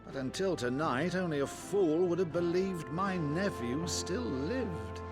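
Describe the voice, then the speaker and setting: A grand old lord in a video game, blustering yet warm-hearted. His voice sits fairly high for a man and takes its time, savouring every florid phrase. Music plays clearly behind him. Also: expressive, a little slow. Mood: wry, hearty. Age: older. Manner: theatrical, grandiloquent. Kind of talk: dramatic video game acting.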